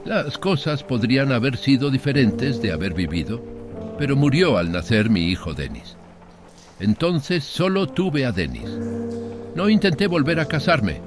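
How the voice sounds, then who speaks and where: A man voicing a proud, lonely old planter who recounts his family past in a wistful, dignified audiobook monologue. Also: mid-range voice.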